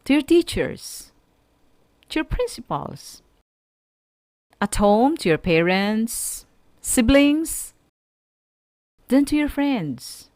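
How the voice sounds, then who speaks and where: A woman with a Filipino accent giving an instructional radio talk with tips and examples, deliberate and emphatic, repeating key phrases. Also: fairly high pitch, sing-song, darkish tone, no room sound. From Philippines. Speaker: speaker giving a mental health lesson on a school radio programme.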